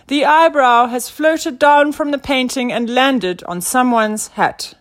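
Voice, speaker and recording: A woman's voice: high-pitched, takes it slowly, voice pushed up. Woman as a bumbling, blustering animal detective inspector. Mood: pompous, confident. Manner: blustering, pompous, exclamatory.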